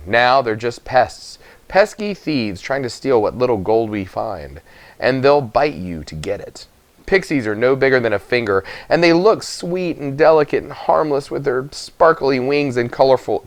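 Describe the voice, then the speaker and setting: A man reading a first-person children's story aloud with expressive narration. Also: mid-range voice, no echo. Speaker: audiobook reader.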